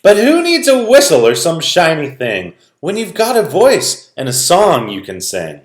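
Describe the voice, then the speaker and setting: A man's voice: fairly high pitch, huge pitch swings, unhurried, pitched above the performer's own voice. A man voicing a kindly old farm father in a storybook, earnest, folksy and comforting. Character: kindly old farm papa, smiling and reassuring. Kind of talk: storybook read-aloud character voice. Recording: roomy, echoing sound.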